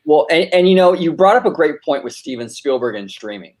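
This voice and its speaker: A man's voice: a little high, lively intonation, a little fast. Emphatic male host in casual discussion. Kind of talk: casual commentary.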